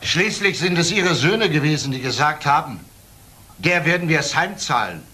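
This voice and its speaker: An actor playing a persistent police detective in a radio crime drama, questioning formally and pointedly. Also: male voice, fairly high pitch, lively intonation, moderate pace, bright, forceful tone, slight background noise. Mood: accusing.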